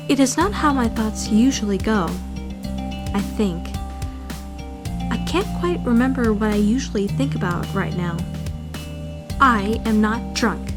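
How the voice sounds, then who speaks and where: A woman's voice: medium pitch, close to an everyday voice. A woman voicing a prim, socially awkward young trainee who narrates a visual novel fan dub in formal, bookish language. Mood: tipsy, musing.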